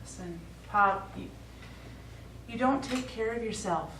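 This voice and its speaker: A woman's voice: fairly high pitch, unhurried, a bit bright. An actress playing the concerned, insistent grown daughter of an aging father in a stage play. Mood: worried, insistent. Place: small theater stage, recorded from the audience. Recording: distant echoey theater recording, clear background noise.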